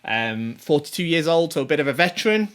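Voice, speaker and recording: A man's voice: a little high, animated, brisk, a bit bright. A man in his forties hosting a show, giving casual commentary on tournament players, full of ums, restarts and little chuckles. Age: 40s. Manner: rambling, many ums and restarts; chatty, chuckles to himself. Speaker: show host.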